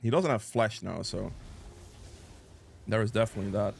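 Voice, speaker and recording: A man's voice: on the low side, normal speed, creaky, faint music behind. A man giving casual live commentary on a video game as he plays, thinking aloud and reacting as he goes, sniffly with a cold. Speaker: gameplay guide creator and player.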